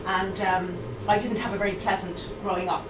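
A middle-aged Irish woman with a Dublin accent, giving a live poetry reading, reciting in a measured way and chatting hesitantly with fillers between poems. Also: medium pitch, steady tone, background noise. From Ireland. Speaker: poet. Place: live reading event in a room. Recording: roomy, distant mic, audible room ambience.

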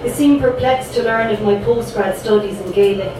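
A woman's voice: medium pitch, normal speed. A young Irish woman from Cork performing her spoken word poems with a rhythmic, dramatic delivery and chatty asides between pieces. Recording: echoey room picked up from a distance, background noise.

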